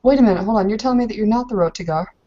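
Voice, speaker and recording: A woman's voice: on the high side, rapid-fire, warm tone, highs cut off, pitched above the performer's own voice, lightly acted. A woman playing an earnest, polite young Bavarian bride in a tabletop game, asking things in a hesitant, curious way. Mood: puzzled, eager.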